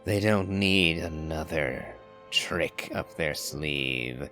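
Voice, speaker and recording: Male game commentator, deep pitch, leisurely pace, measured wry wording, music in background. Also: sharp tone. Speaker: gamer, channel host.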